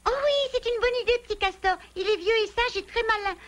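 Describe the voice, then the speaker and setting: A woman's voice: extremely high pitch, a little fast, fairly bright tone. A woman playing an anxious, earnest young forest animal in a French cartoon, naive and pleading in manner. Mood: hopeful, pleading. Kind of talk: French cartoon dub acting.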